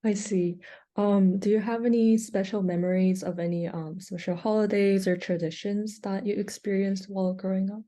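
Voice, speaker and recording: A woman conducting an oral history interview over a video call, reading her questions in a measured way. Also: mid-range voice, steady tone, warm tone.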